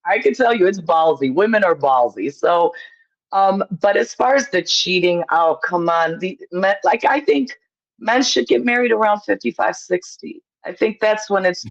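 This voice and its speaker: A woman's voice: mid-range voice, expressive, normal speed, vocal fry, muffled recording. A woman from Poland, chatting casually as an opinionated call-in guest, emphatic and repeating herself to make a point.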